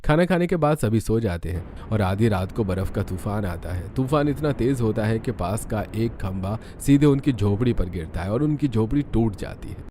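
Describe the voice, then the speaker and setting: A man's voice: lowish voice, animated, normal speed, hardly any pauses, dark tone, a little hiss or hum. A man reading scripted narration for a cartoon story.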